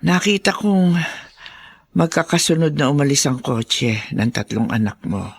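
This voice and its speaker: Animated, concerned Filipino male friend. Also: on the high side, unhurried, gravelly, husky, full character voice. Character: concerned, inquisitive male friend of an old man. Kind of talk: Filipino radio drama acting.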